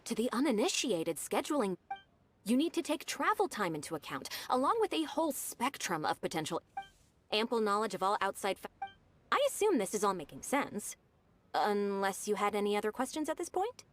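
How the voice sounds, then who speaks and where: A woman voicing a polite, efficient young secretary in a video game, explaining duties in a brisk, businesslike way. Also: mid-range voice, sing-song, a little hiss or hum, close to an everyday voice. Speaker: game character.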